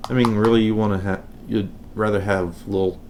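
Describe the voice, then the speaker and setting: A man giving a casual, unscripted how-to demonstration, talking haltingly and unhurriedly as he works. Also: on the low side, level tone, a little mellow, a little hiss or hum. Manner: unhurried, halting, thinking aloud while working. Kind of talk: casual unscripted hands-on tutorial.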